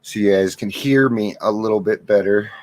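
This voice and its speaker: Man, low-voiced, hosting a casual livestream; soft and worn out, rambling and self-deprecating. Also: normal speed. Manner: rambling, candid, self-deprecating, many restarts; talking softly on purpose. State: unwell and worn out. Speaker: livestream host of a recovery reflection. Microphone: computer mic with gain turned up.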